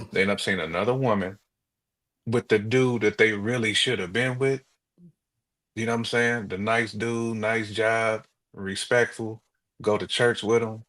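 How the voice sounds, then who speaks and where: Low-voiced American man, casual slangy chat. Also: moderate pace. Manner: animated storytelling, acts out quotes, slangy. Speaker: podcast co-host.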